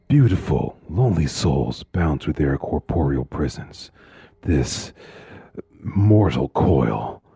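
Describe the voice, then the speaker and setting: An actor playing a calm, philosophizing male serial killer of about forty in a suspense audio drama, gravelly and deliberate. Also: dry recording, exaggerated voice. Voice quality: very deep, gravelly husky. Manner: slow, deliberate, pompous phrasing.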